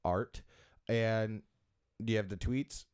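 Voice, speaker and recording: Joking low-voiced male podcast host. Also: steady tone, slow, a little mellow, smooth. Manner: joking, laughs often, self-deprecating. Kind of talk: casual interview chat. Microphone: close studio mic.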